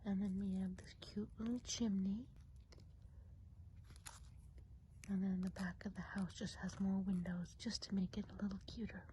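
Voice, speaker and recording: A woman playing a kindly, chatty witch of the woods in a whispered ASMR role play, speaking gently and without hurry in a soft, breathy whisper. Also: mid-range voice, flat delivery, slight background noise, natural voice, poor audio. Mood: cozy, chatty. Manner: gentle, unhurried, chatty, hesitant asides.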